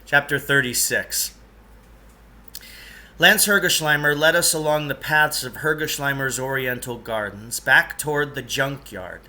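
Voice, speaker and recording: A man's voice: medium pitch, unhurried, pushed and bright. A man reading a children's novel aloud in a storytelling style. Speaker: reader, teacher reading aloud.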